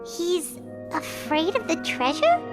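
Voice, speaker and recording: A woman voicing a small, excitable, curious and blunt fairy companion in a video game, with a squeaky childlike voice. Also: slow pace, over music, exaggerated voice. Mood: curious, amazed. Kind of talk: animated video game voice acting.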